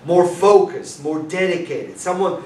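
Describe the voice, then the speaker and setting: A man's voice: high voice, unhurried, mellow. Man acting an earnest young detective, distant and roomy. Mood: pleading, searching. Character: young male detective, earnest, doubting, immigrant family. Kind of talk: staged play reading, theatrical acting. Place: small indoor performance room with audience. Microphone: far camera. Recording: roomy, distant mic, faint noise.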